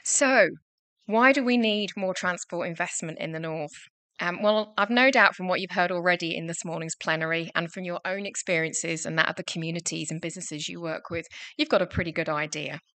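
Middle-aged woman at a lectern mic, bright clear voice, dry close sound, opening a panel. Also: lowish voice, brisk, no echo. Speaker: conference panel chair. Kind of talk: prepared welcome speech. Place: conference stage indoors. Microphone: lectern microphone.

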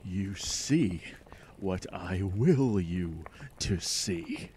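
A man playing a gloating alien conqueror head in a retro radio horror drama, haughty and deliberate. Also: deep voice, animated, darkish tone, slight background noise, exaggerated voice. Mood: commanding.

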